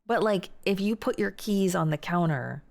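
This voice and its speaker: A woman's voice: lowish voice, expressive, moderate pace, gravelly. A young woman streaming live, chatting casually and reading out and answering viewer messages. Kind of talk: casual chat reading chat aloud.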